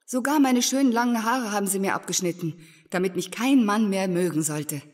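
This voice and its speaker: An actress playing a bitter, resolute young heiress, speaking earnestly in a German audio drama. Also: female voice, medium pitch, a little fast, lightly acted. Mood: aggrieved, recounting. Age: young adult. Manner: earnest, embittered storytelling.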